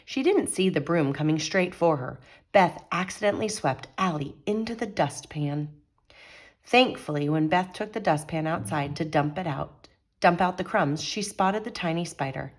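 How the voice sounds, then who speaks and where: A woman storyteller with a low-pitched voice. She reads a children's picture book aloud expressively, in a teacherly manner. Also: animated, moderate pace.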